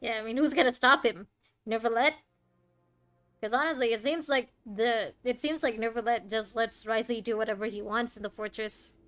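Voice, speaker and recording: A woman's voice: a little high, steady tone, moderate pace, a bit bright. A woman doing casual, playful gameplay commentary as a streamer, reacting aloud to the game. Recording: game music behind the voice.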